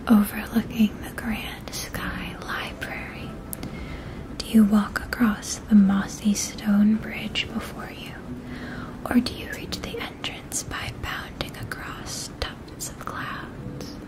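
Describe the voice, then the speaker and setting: A woman speaks in a soft whisper, guiding the listener through an ASMR fantasy story. Her delivery is very even, with little rise or fall, and she takes her time over each phrase. She articulates carefully and sounds gentle and soothing. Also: mid-range voice, slow, poor audio. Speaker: ASMR roleplay performer.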